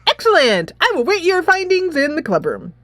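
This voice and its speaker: A woman's voice: extremely high pitch, huge pitch swings, moderate pace, a bit bright, no room sound, voice pushed up, heavily acted. A woman voicing a pompous, formal schoolgirl sleuth from a game, speaking in a grand, declamatory manner. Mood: grandly ominous.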